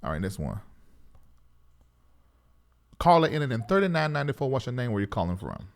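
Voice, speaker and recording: A man's voice: mid-range voice, sing-song, fairly quick, vocal fry. A man hosting a live call-in talk show, speaking casually in a conciliatory, reflective manner.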